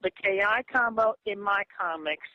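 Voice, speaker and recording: A man with a noticeably high voice speaks in a phone conversation. He argues haltingly, stammering and repeating himself. The sound is dull and dry, as heard through a lo-fi phone recording. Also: no echo. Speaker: comic creator on a phone call. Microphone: phone call. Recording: muffled lo-fi phone call audio.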